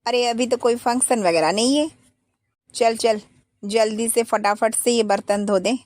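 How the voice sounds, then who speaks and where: A woman's voice: on the high side, unhurried, darkish tone, no room sound, lightly acted. Woman playing a bossy elder sister-in-law. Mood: scolding. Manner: scolding, nagging.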